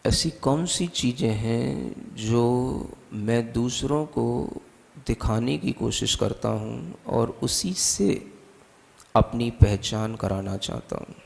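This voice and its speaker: A man with an Indian accent leading a guided meditation as a spiritual teacher, giving calm, deliberate instructions with long pauses. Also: medium pitch, vocal fry. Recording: long hall-like reverb.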